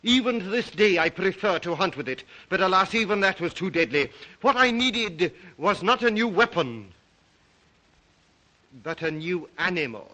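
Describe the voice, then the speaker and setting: Aristocratic Russian hunter count, courtly, brooding, forceful bright tone, raised pitch, vintage melodrama delivery. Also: male voice, lively intonation, poor audio. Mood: brooding, intense. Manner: theatrical, deliberate, brooding, courtly. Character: aristocratic Russian count, suave, obsessive big game hunter. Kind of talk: 1930s film-era melodramatic acting.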